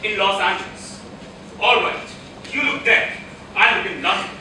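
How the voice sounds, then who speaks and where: A man's voice: high voice, moderate pace, close to an everyday voice. A young man acting a short-tempered, exasperated husband in a live stage play. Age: young adult. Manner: exasperated, ranting, melodramatic. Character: frantic, short-tempered American husband, exasperated and self-pitying. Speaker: student stage actor. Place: auditorium stage. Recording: echoey noisy auditorium, recorded from far off.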